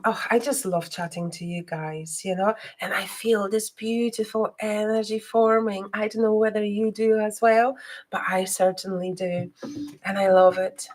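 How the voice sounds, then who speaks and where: A woman psychic hosting a live stream who speaks non-native English with a slight Scottish twang. She hesitates often, filling pauses with ums and restarts, and turns soft and guiding when she leads a reading. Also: mid-range voice, fairly even intonation. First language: not English.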